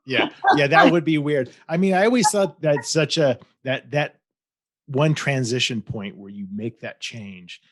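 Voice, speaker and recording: A man hosting a podcast interview, asking questions in a relaxed, halting way. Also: mid-range voice. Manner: relaxed, halting, with filler restarts. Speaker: podcast host. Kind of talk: casual interview chat.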